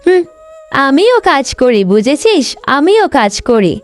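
একজন মহিলা কার্টুন গল্পে অহংকারী, স্বার্থপর তরুণী বড় বোনের চরিত্রে কণ্ঠ দিচ্ছেন, কথায় বকাঝকা আর অভিযোগের সুর।. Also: female voice, high-pitched, sing-song, measured pace, soft background music. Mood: irritated, sulky. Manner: scolding, complaining. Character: vain, selfish, sharp-tongued young elder sister. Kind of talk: Bengali cartoon story voice acting.

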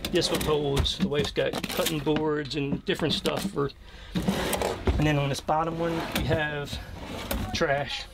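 American male, 50s, slightly high voice, plain-spoken DIY tour guide talking off the cuff, clear background noise. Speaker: trailer camper builder giving a tour. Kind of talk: casual unscripted walkthrough. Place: inside a small camper trailer with open door. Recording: clearly noisy location sound with clicks.

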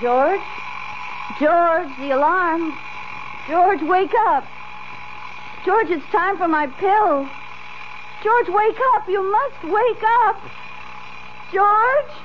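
An actress playing a frail, anxious young wife with a plaintive manner in a 1970s radio drama. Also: female voice, very high-pitched, very slow, pauses often, full character voice. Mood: urgent, pleading. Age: young adult. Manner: plaintive, pleading. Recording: dull, noisy old radio recording.

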